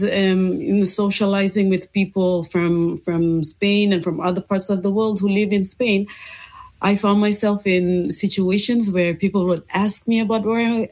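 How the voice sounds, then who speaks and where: A woman's voice: mid-range voice, a little mellow. A young woman from Fiji, an English teacher, answering interview questions thoughtfully with frequent ums and restarts.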